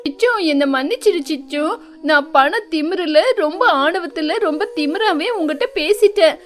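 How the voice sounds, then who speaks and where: A woman's voice: sits high, moderate pace, faint music behind, close to an everyday voice. A woman voicing a haughty, melodramatic rich female bird relative in a children's moral story cartoon. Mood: remorseful. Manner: melodramatic, exclamatory.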